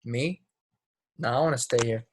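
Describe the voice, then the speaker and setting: A man's voice: medium pitch, normal speed, slight background noise, close to an everyday voice. A man acting a troubled, defensive teenage boy in a play readthrough, guarded, with folksy ungrammatical phrasing. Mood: withdrawn. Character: troubled, defensive teenage boy, small-town drama student. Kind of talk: play readthrough, dramatic dialogue.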